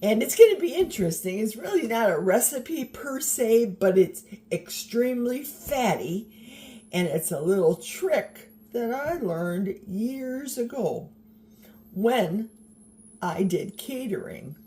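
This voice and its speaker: Slow, mellow-voiced older woman vlogging. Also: a little high, big pitch swings, slight background noise. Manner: encouraging, chatty, wry. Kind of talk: casual vlog chat while cooking. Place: home kitchen. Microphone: handheld camera.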